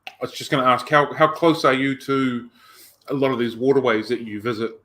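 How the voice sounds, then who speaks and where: A man's voice: medium pitch, steady tone, fairly quick, bright, forceful tone. A man hosting a podcast in casual chat, upbeat and chatty, sometimes drawing out his words. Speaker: podcast host.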